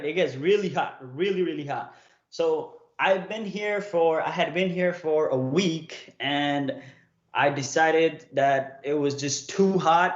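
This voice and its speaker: Chatty man livestreaming, roomy dull sound. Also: fairly high pitch. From Nepal. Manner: chatty, keeps checking in with "okay?" and "right?". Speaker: streamer coaching viewers. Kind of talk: casual livestream storytelling.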